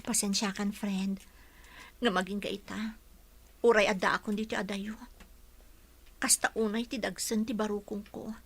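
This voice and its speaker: A woman playing a sorrowful young woman pining for her sweetheart, soft and plaintive, in an audio drama. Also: medium pitch, little pitch movement, moderate pace, pauses often, slightly rough. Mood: sad, longing. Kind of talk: Filipino audio drama acting.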